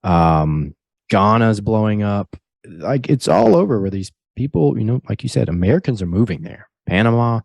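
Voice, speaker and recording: A man with a low, warm, mellow voice speaks as a guest on a podcast. He sounds earnest and motivational and keeps a steady delivery. The sound is dry and close, with no room echo. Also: normal speed, vocal fry. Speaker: podcast guest, real estate broker. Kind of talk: casual interview chat.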